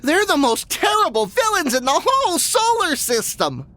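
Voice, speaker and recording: A woman's voice: very high-pitched, sing-song, normal speed, fairly bright tone, no echo, full character voice. An actor playing a naive, earnest young boy sidekick in a comedy audio drama. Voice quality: earnest, wide-eyed.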